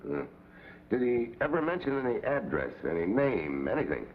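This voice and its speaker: An actor playing a blunt, hard-nosed American special agent in a vintage television drama, clipped and sardonic. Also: male voice, mid-range voice, big pitch swings, moderate pace. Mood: probing. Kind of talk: 1950s television drama acting. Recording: tinny, hissy vintage TV soundtrack.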